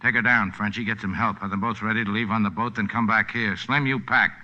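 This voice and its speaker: An actor in a 1940s radio drama playing a tough, sardonic boat captain with a clipped, commanding, deadpan delivery. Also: male voice, lowish voice, fast. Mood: menacing, curt. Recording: muffled old radio broadcast recording.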